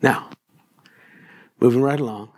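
A man in his fifties, a pastor, preaching a sermon in a loose, conversational way, his voice a little raspy today, with many fillers and restarts. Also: mid-range voice, a little slow. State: raspy voice this morning.

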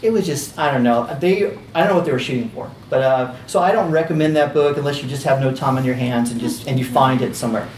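A man's voice: fast talker, few pauses, darkish tone, slight background noise. A man teaching a casual class, talking in a chatty way with lots of fillers and restarts. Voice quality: squeaky. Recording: roomy, distant, faint ambience.

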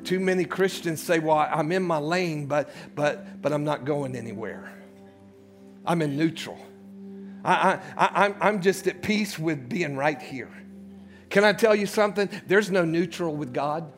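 A male pastor preaching a sermon, swinging between loud shouts and hushed low passages and repeating words as he builds. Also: unhurried, soft background music.

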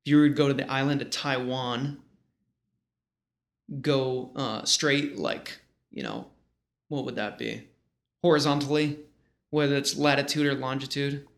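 A man's voice: mid-range voice, measured pace, vocal fry, pauses often. An American man in his thirties from small-town New York, telling stories casually in a slangy, rambling, informal way. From small-town western New York, United States. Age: 30s. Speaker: guest. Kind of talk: casual conversational storytelling.

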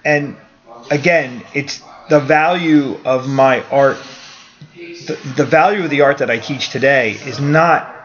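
A man's voice: mid-range voice, a little slow, muffled recording. A man in his forties talking in a casual podcast conversation, blunt and opinionated, swearing now and then. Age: 40s. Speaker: karate school owner, guest.

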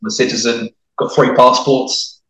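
A man's voice: medium pitch, little pitch movement, moderate pace, bright, forceful tone. This is a middle-aged man with a mixed, hard-to-place accent who grew up speaking Italian. He talks in a loose, rambling way, restarting his phrases. His voice sits in a middle register, heard in a roomy space from some distance off the microphone. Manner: chatty, many restarts and repeated words. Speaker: guest. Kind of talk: casual interview chat. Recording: echoey, from off the mic.

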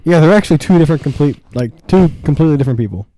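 A young man co-hosting a podcast, teasing his friend in a deadpan, mock-serious way. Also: a little high, very fast, rough recording. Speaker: podcast co-host. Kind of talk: casual comedic chat.

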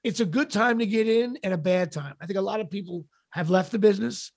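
A middle-aged man with a raspy voice, chatting casually as an interview guest in a wry, self-deprecating, halting way. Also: sits high, dry recording, studio quality. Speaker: podcast guest, actor and comedian.

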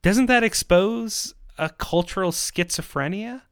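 A man giving a persuasive monologue in an emphatic, rhetorical manner, with dramatic pauses and repetition. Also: high-pitched, fairly bright tone, clear, resonant tone, dry recording, clean studio sound. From Canada. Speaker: podcast host and pro-life activist.

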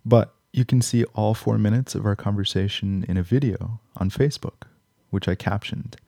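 A man's voice: on the low side, a little slow, creaky, dark tone, dry recording, studio quality. A man hosting a podcast, reading scripted narration to introduce an episode. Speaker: podcast host.

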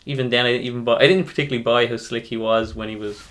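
A man's voice: medium pitch, steady tone, racing, fairly bright tone. A man co-hosting a podcast, giving opinionated, rambling film review chat as he thinks aloud. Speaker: podcast co-host.

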